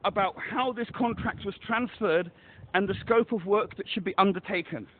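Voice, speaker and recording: A man's voice: high voice, moderate pace, slight background noise. A man on a phone call, pressing his complaint urgently and repeating himself. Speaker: caller. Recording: tinny phone line.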